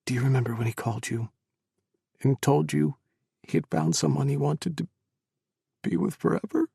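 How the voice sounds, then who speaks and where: Grief-stricken young widower played by a man, pleading, sobbing, husky, gravelly. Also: medium pitch, moderate pace, dry recording, studio quality, pitched above the performer's own voice, exaggerated voice. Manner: pleading, breaking into sobbing shouts.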